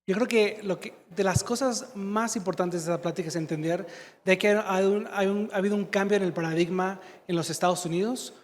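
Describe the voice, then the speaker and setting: A man from Mexico with a slight Mexican accent and a high voice gives a medical conference talk. He searches for words now and then and fills gaps with "este". A long reverb from a large hall carries his voice. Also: steady tone, fairly quick, a little hiss or hum. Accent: slight Mexican Spanish. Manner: fluent but searching for Spanish words, fillers like "este". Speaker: conference speaker, surgeon.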